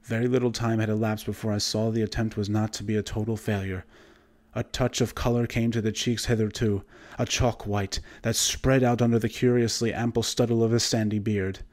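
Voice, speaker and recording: Low-pitched male horror audiobook narrator. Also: level tone, darkish tone. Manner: measured, formal literary reading. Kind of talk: scripted narration of a horror story.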